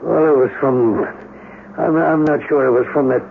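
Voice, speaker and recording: An actor playing a plain-spoken, embittered rural mechanic with a halting, folksy way of talking and a gravelly voice, in a radio drama. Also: male voice, on the high side. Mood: halting, sorrowful. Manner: folksy, halting, ungrammatical speech. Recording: narrow phone-like, faintly noisy radio broadcast.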